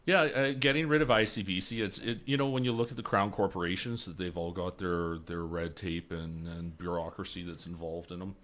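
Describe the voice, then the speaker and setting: A man's voice: low voice, steady tone, a little fast, hardly any pauses, a bit bright. A young man from British Columbia, a candidate answering interview questions in a radio studio, earnest and restarting words as he goes. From Lower Mainland, British Columbia. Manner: earnest, repeats words while he searches. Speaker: election candidate. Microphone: studio mic on boom arm.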